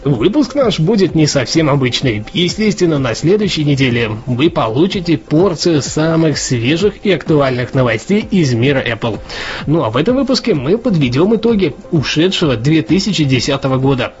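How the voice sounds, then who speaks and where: A man's voice: a little high, sing-song, normal speed, a little mellow. A man co-hosting a casual news podcast, talking in a chatty way with lots of fillers and restarts. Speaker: podcast co-host. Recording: dull lo-fi podcast audio with faint music.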